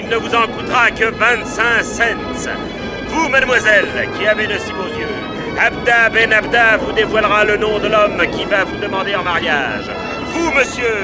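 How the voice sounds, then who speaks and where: A man playing a high-pitched, bombastic fairground barker. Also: moderate pace, close to an everyday voice. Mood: grandiose, haranguing. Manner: declamatory, grandiloquent sales patter. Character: bombastic fairground barker luring passers-by to a fortune-teller. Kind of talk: French radio drama acting. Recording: loud music behind the voice.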